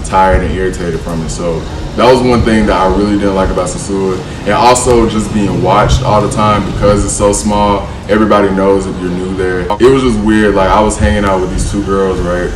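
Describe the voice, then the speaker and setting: A young American man vlogging in a loose, slangy way, often saying bro and like. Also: sits low. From United States. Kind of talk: casual vlog chat. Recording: roomy, distant, air-conditioner hum.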